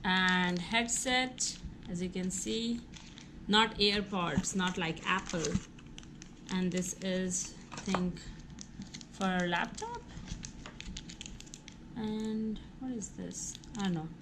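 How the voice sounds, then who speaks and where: A woman with a mid-pitched voice hosts a casual unboxing video at an unhurried pace. She narrates each step aloud in an exclamatory manner. The recording is very noisy, with clicks in it.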